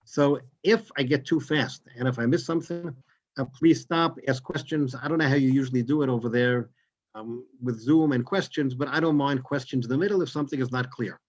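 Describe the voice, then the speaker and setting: A man from New York with a New York accent, giving a quick, chatty guest lecture over a video call. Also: medium pitch. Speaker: guest speaker, tour guide. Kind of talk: Zoom lecture. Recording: dull lo-fi Zoom audio.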